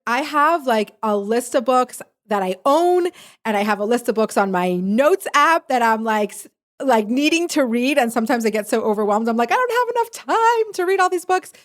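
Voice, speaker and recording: A woman's voice: a little high, very animated, normal speed. A woman hosting a podcast, chatty and enthusiastic, talking casually with a guest. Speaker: podcast host. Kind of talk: casual conversation.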